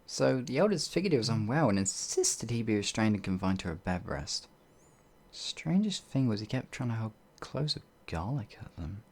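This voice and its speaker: An actor playing a bewildered, humble werewolf outlaw in an ASMR fantasy roleplay, with halting, old-fashioned speech and a husky voice. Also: medium pitch, very animated, a little mellow. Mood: content, chatty. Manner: halting, flustered, pleading, old-fashioned wording.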